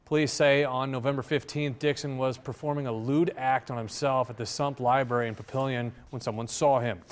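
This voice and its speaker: An American man giving a scripted TV news report as a reporter in a news center. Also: medium pitch.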